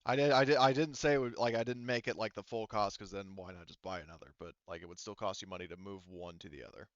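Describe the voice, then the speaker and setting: A man working as game master, explaining tabletop rules casually in a wordy, halting way that often doubles back on itself. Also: fairly low pitch, fairly even intonation, no room sound, highs cut off. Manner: halting, self-interrupting, wordy.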